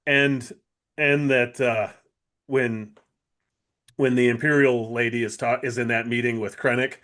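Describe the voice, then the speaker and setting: A man co-hosting a podcast, talking in casual fan chat with an eager, halting, rambling manner. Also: medium pitch, steady tone, fairly bright tone. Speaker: podcast co-host.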